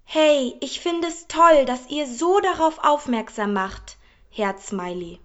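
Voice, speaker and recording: A woman's voice: high-pitched, slow pace, fairly bright tone, lo-fi sound. A woman playing a gushing Instagram influencer, reading social media posts aloud with emojis spoken as words. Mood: encouraging. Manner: speaks emojis and tags out as words. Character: gushing female Instagram influencer promoting products to followers.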